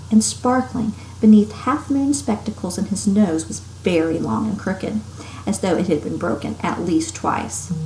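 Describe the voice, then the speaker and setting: A woman's voice: medium pitch, warm tone. A woman teacher reading a story aloud to children expressively and emphatically, with casual asides. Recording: roomy echo, faint background noise.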